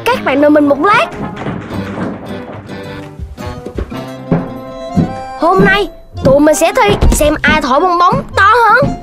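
A voice actor playing a cheerful little puppy and his young friends in a cartoon, in a chirpy, excitable childlike voice. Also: extremely high pitch, normal speed. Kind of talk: cartoon dubbing. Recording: cartoon with music underneath.

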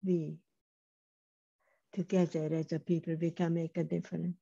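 An older woman giving a measured, emphatic personal testimony over a video call, speaking slowly with pauses. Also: on the low side, little pitch movement, creaky, warm tone. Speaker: Holocaust survivor speaker. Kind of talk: slow personal testimony talk. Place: home room on video call. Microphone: webcam mic on video call. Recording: slightly dull lo-fi video call audio.

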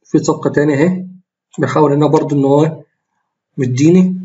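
Hoarse Egyptian man, fast rambling trading talk. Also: on the high side, mellow, lo-fi sound. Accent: Egyptian Arabic. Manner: rambling, self-correcting, explains while trading live. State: tired, slightly hoarse voice. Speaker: trading tutorial host. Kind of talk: screen-recorded trading walkthrough.

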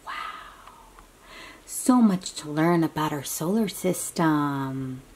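A woman reads a picture book to little children in an unhurried, slightly breathy voice. Her pitch swings widely as she exclaims, stretches words and drops into hushed asides, often putting simple questions to her listeners. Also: animated. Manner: talks directly to young listeners with simple questions. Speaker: children's read-aloud host. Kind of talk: animated picture-book reading to young children.

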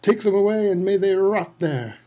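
Guy putting on a harsh shouty angry judge, raised loud and pitched up, barking orders. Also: fairly even intonation, fairly quick, muffled recording. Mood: roaring. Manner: bellowing, harsh, commanding. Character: stern furious middle-aged male judge.

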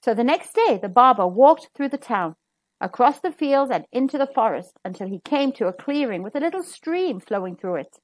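Woman, children's read-aloud, high voice, highly varied pitch, dramatic emphasis. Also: sing-song, normal speed, dry recording, poor audio. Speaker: storybook reader.

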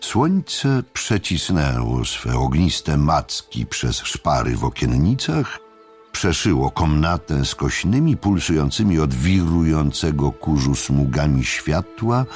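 A male audiobook narrator with a low, gravelly voice tells a story in a slow, measured way. His intonation is extremely varied, with dramatic pauses between phrases. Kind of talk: scripted dramatic literary narration.

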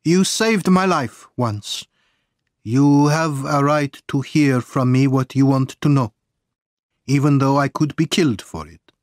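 A man's voice: medium pitch, unhurried, no room sound, studio quality, natural voice. A man voicing an anxious, secretive Italian political exile in dramatic, earnest audiobook dialogue. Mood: fearful, grave.

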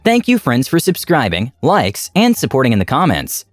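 A man reading online stories aloud as scripted narration, with very lively, swooping intonation. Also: medium pitch, moderate pace, no room sound. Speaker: narrator reading reddit posts. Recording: dry close mic with faint music.

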